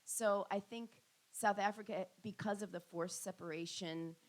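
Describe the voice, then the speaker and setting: A woman's voice: medium pitch, steady tone, moderate pace. A middle-aged woman academic answering audience questions on a panel, thoughtful and full of ums and restarts.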